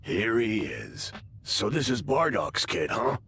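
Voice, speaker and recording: An actor voicing a gruff, casually callous male alien warrior in a game, with an offhand manner. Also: lowish voice, wildly swooping pitch, takes it slowly, full character voice. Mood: offhand, amused. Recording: loud background noise.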